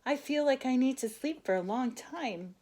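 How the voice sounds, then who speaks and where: A woman reading a storybook as a small, humble male caterpillar, earnest and gentle in manner. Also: sits high, animated, normal speed, pitched above the performer's own voice. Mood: earnest, weary.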